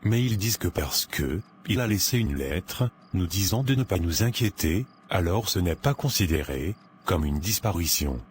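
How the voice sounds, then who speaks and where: Deep, soothing pastor father played by a man. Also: lively intonation, slight background noise. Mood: reassuring. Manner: soothing, measured. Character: calm, reassuring pastor father with steady faith.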